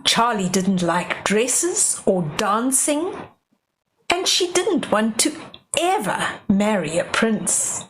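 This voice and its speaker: A woman's voice: fairly high pitch, big pitch swings, slow pace, fairly bright tone, a little hiss or hum, poor audio. An older woman reading a children's bedtime story aloud, expressively, with chatty exclamations over the pictures.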